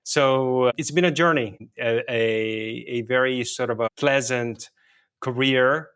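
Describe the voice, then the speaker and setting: A man's voice: mid-range voice, steady tone, a little slow, fairly bright tone, smooth. A middle-aged man from Brazil with a Brazilian accent, a native Portuguese speaker, answering interview questions in a conversational, explanatory way with "you know" sprinkled in. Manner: explanatory, often says "you know" and "right". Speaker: interview guest, technology and payments executive.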